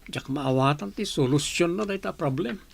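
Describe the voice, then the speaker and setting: Radio-play schoolboy, earnest and a bit helpless, fry in a lightish voice. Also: male voice, fairly high pitch, lively intonation, normal speed, exaggerated voice. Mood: pleading, earnest. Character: young male student, struggling and pleading for help. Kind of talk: audio drama acting.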